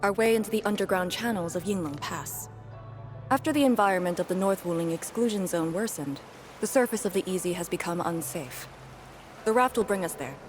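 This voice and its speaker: An actress playing a composed, commanding officer of a frontier defence force in a scripted video game. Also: female voice, lowish voice, animated, brisk, lightly acted. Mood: composed, explaining. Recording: game music behind the voice. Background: game music.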